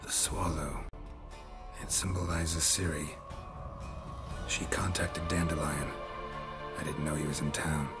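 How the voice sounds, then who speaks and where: An extremely deep, gravelly voice of a stoic monster hunter in a fantasy game. He is world-weary and dry, speaking in short deadpan lines. Music plays clearly behind him. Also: unhurried, pauses often. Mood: somber, guarded. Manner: deadpan, terse. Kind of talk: video game cutscene acting.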